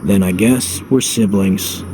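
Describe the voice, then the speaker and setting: A stoic young video game hero with a low voice. He is blunt and terse, taking his time over understated lines, with music clearly behind him. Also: slow pace, mellow, rough recording. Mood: dry, guarded. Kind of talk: dramatic video game voice acting.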